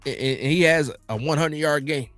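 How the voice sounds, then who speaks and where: An American man hosting a casual football livestream, reading out viewer comments and reacting in slangy, animated, informal talk. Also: on the high side, a little fast, dry recording, faint music behind. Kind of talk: casual fan chat about football.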